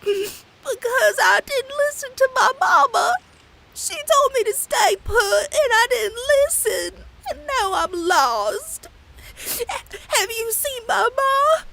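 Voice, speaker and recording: A woman's voice: very high voice, big pitch swings, slow, gravelly, sharp tone, heavily acted, low-quality recording. A woman voicing a frightened, polite little lost filly pony in a comic dub. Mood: tearful, panicked. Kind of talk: animated comic dub acting.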